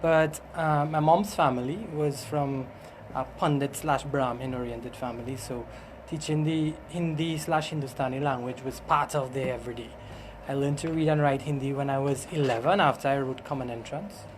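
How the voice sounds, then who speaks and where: A man's voice: mid-range voice, normal speed, hardly any pauses, background noise. A middle-aged man with a Trinidadian accent, explaining things informally as an interview guest, with some hesitation. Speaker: interview guest, language teacher and doctor.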